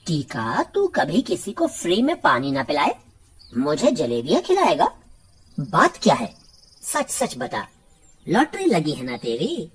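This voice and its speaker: A woman's voice: sits low, huge pitch swings, measured pace, slightly rough, voice pushed down, heavily acted. A woman voicing a curious, suspicious but good-hearted village man in a cartoon, earnest and questioning. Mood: teasing, suspicious.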